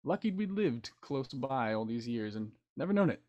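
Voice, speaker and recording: A man's voice: mid-range voice, very animated, moderate pace, darkish tone, dull audio, lightly acted. Male actor as Victorian doctor and veteran for radio mystery: mid-range voice, nostalgic, old-fashioned turns of phrase. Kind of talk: radio play acting over video call.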